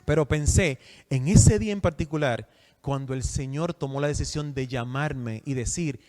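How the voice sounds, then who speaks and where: A man's voice: medium pitch, moderate pace, a little mellow. A man from the Republic, preaching a sermon and putting questions to his listeners. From Dominican Republic. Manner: asks the audience questions, emphatic. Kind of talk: sermon teaching. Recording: long hall reverb.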